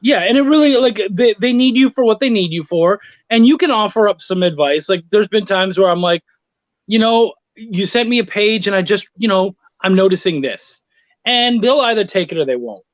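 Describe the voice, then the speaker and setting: A man's voice: high-pitched, animated, moderate pace, dry recording. A man chatting casually as an interview guest, telling anecdotes and voicing the exchanges in them, on muffled lo-fi call audio. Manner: anecdotal, acting out quoted exchanges. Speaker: guest, freelance comics writer and editor.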